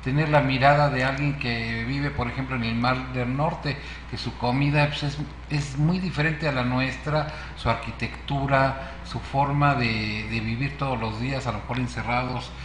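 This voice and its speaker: A man's voice: mid-range voice, steady tone, normal speed, hardly any pauses, fairly bright tone, reverberant, slight background noise. A man from Yucatán with a Mexican accent, hosting and reflecting on art while he searches for words. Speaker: sociologist, sculptor and interviewer. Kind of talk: interview talk.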